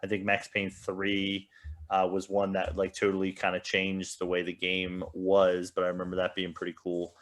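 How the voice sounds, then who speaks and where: A man's voice: low-pitched, monotone, moderate pace. A man co-hosting a podcast, chatting casually about films and games. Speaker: podcast co-host. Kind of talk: casual movie and game review chat.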